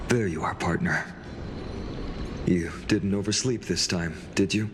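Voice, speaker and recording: A man playing a composed warrior lord in a game, measured and comradely. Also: deep voice, noisy background. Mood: warm, teasing. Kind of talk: video game character dialogue.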